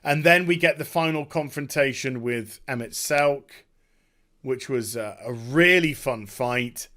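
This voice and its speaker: A man chatting off the cuff about a video game as a streamer, effusive and overwhelmed, often halting, repeating himself and trailing off. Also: mid-range voice, sharp tone. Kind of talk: unscripted rambling game review chat.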